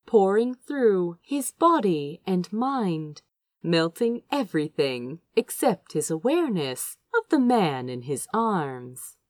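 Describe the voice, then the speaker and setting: A woman reading fiction aloud as an audiobook narrator, expressive and dramatic, lingering over her phrases. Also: mid-range voice, no room sound, clean studio sound. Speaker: audiobook reader.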